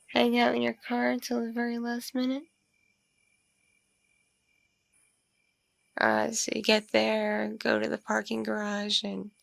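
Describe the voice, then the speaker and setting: A woman acting as a mature vampire hostess in an ASMR roleplay, with heavy vocal fry running through a fairly high voice. She is soft-spoken and flirtatious, drifting along slowly with absent-minded murmurs and hesitant pauses. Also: dry recording, exaggerated voice. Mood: curious, teasing. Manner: hesitant, murmuring, lingering pauses with ums and mms. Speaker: roleplay voice performer. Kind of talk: ASMR roleplay, one-sided intimate conversation.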